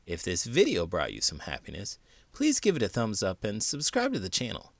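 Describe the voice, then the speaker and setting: A man hosting a children's read-aloud, narrating in an expressive, theatrical storytelling style. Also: fairly low pitch, fairly quick, gravelly, no room sound.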